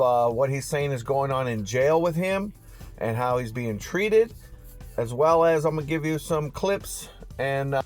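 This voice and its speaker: A man hosting car news on YouTube in a casual, slangy vlog style, talking straight to his viewers. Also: mid-range voice.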